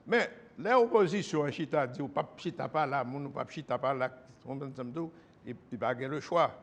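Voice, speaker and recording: A man's voice: medium pitch, moderate pace. A man who is a former colonel, speaking as a guest on a talk show and often asking whether he is understood. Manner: emphatic, keeps asking "you understand?". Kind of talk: political talk show interview.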